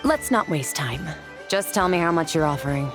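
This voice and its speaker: A woman voicing a cool, aloof young warrior woman in a video game, speaking in a measured, terse and formal way. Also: sits low, expressive, music in the background. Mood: brisk, detached.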